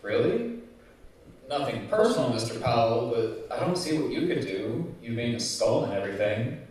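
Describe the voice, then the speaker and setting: A man's voice: medium pitch, animated, normal speed, darkish tone, close to an everyday voice. A man playing a naive, polite aspiring actor who works as prop master, in a live old-time radio comedy. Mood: eager, puzzled. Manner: polite, earnest, hapless. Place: community center stage, live performance. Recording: very echoey hall, far from mic.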